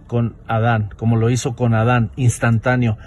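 A man interpreting live between a preacher and an interviewer, speaking quickly with fillers and restarts. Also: mid-range voice, little pitch movement, a little mellow, a little hiss or hum.

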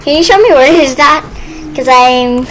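A woman's voice: high-pitched, moderate pace, a bit bright. A woman chatting casually while playing a video game. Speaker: gamer playing a role-play game. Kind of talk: casual gameplay chat. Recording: faint background noise.

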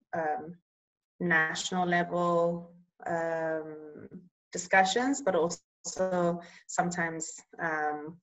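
A young woman with Bulgarian as her native tongue talks about public health work in a casual interview. Her voice sits a little low, and she is measured, often pausing to search for words. The sound has the feel of a video call. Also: low-quality recording. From Eswatini.

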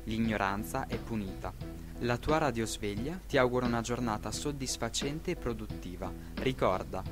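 A man's voice: medium pitch, moderate pace, over music, natural voice. A man playing an impersonal talking alarm clock that formally recites a regime's announcements in a radio drama. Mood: impersonal, official.

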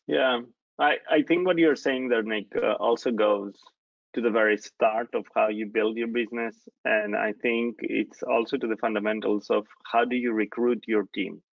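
A man from Greece in his thirties hosting an interview over a video call, talking haltingly with many restarts and fillers. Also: fairly low pitch, steady tone, gravelly, no echo. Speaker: host. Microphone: laptop webcam mic on video call. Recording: dry, dull lo-fi call audio.

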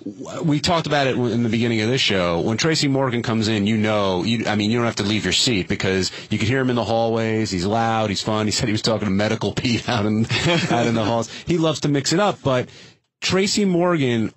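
A man's voice: fairly low pitch, brisk, no room sound. A man hosting a casual radio discussion, steering topics and asking questions. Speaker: radio wrap-up show host.

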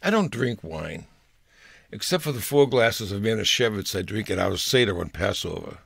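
A man's voice: lowish voice, lively intonation, normal speed, gravelly, fairly bright tone, slightly rough, no echo, clean studio sound. An older man reading his own humorous personal story aloud in a wry, storytelling manner. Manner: wry storyteller with playful voices.

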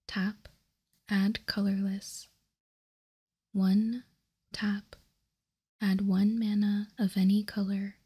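A woman whispering softly for ASMR, reading aloud in deliberate, measured short phrases close to the microphone. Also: mid-range voice. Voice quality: soft, breathy whisper. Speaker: ASMR video host reading trading cards. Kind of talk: whispered ASMR, slow card read-out.

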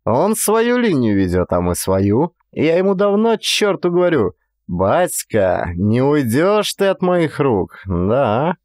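A man acting an animated, folksy Cossack inspector. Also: a little high, takes it slowly, no room sound, studio quality. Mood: stubborn, wily. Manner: colloquial, emphatic storytelling. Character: gruff, talkative male Cossack gold-field inspector, folksy, stubborn. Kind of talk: dramatized audiobook character dialogue.

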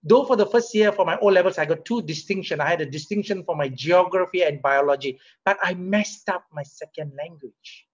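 A middle-aged man with a Singaporean English accent, a company CEO giving a motivational leadership talk over a video call, asking rhetorical questions and getting animated as he makes his points. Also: high voice, a little fast, hardly any pauses, husky. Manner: conversational, rhetorical questions, rises animatedly when making a point. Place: home or office room, webcam. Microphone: webcam or laptop mic on a video call. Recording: muffled video call audio.

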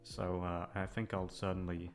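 A man's voice: deep voice, little pitch movement, moderate pace. A man chatting casually to his listeners in a hesitant, meandering way, close on the microphone. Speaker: audio roleplay voice actor. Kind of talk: casual rambling outro to listeners. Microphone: close studio microphone. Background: music.

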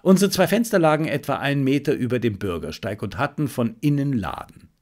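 A man narrating a detective story as a scripted audiobook, with measured, deliberate phrasing. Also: medium pitch, expressive, darkish tone.

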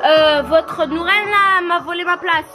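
A woman's voice: very high-pitched, measured pace, over music. A teenage girl chatting playfully to the camera.

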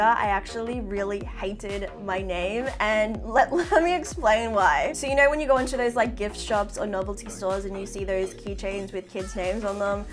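A young woman in her twenties chatting casually to camera in a bedroom, bubbly and full of fillers. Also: fairly high pitch. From half Filipino. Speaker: beauty vlogger. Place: indoor bedroom with fairy lights.

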